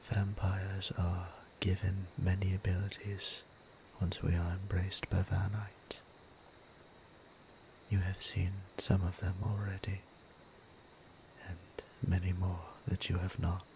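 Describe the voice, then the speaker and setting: Man acting a low, whispery vampire priest. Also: flat delivery, a little hiss or hum, rough recording. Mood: earnest, hesitant. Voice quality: soft, breathy near-whisper. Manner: slow, deliberate, formal, soothing. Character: gentle, courteous male vampire priest, caretaker. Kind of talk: intimate ASMR roleplay acting.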